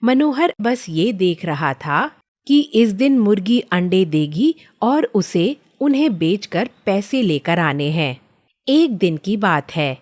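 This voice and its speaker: A woman's voice: mid-range voice, big pitch swings, takes it slowly, a little mellow. A woman narrating a scripted children's story as the storyteller.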